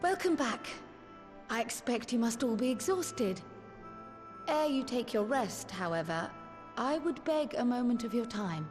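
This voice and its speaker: A woman playing an earnest, determined scholar and strategist in a fantasy game, speaking formally and deliberately. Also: on the high side, expressive, a little mellow, soft background music. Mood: polite. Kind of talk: formal video game cutscene acting.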